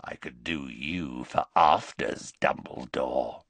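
A man voicing a savage male werewolf with a rasping, barking voice, slow and menacing, in a dry storybook reading. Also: very deep voice, fairly bright tone, no echo, voice pushed down, heavily acted. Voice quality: rasping bark.

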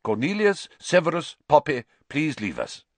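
Dumbledore, a man reading as a calm old headmaster. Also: fairly high pitch, no echo, pitched above the performer's own voice. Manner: calm, measured, quiet. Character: calm, wise elderly male headmaster.

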